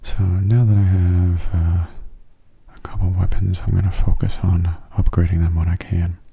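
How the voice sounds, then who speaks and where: Soft, breathy low-voiced man, very mellow. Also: flat delivery, muffled recording. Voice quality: soft, breathy, often half-whispered. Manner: gentle, unhurried, low-key. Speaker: gamer giving gameplay commentary. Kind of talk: soft-spoken ASMR gameplay commentary.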